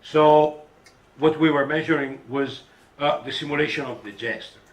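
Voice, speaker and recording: A man's voice: a little high, steady tone, moderate pace. A man speaking as a research scientist on a panel, answering off the cuff with careful, hesitant phrasing. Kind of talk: unscripted academic answer to questions. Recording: echoey hall, distant microphone.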